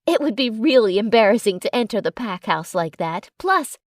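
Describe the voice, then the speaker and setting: Animated woman narrating a story, very dry sound. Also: medium pitch, moderate pace, no echo. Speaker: audiobook narrator. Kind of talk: scripted first-person story narration.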